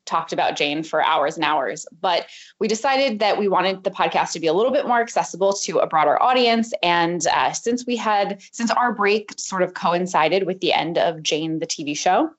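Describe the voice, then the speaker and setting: A woman co-hosting a podcast, talking in a relaxed, chatty way with lots of fillers. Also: on the low side, moderate pace. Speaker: podcast co-host. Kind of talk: casual podcast chat. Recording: slightly dull lo-fi audio.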